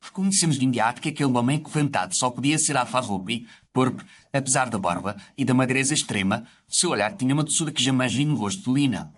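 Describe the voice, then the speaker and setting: A man's voice: medium pitch, fast, hardly any pauses, fairly bright tone, a little hiss or hum. A man narrates a scripted audio story. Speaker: narrator telling the story as a doctor character.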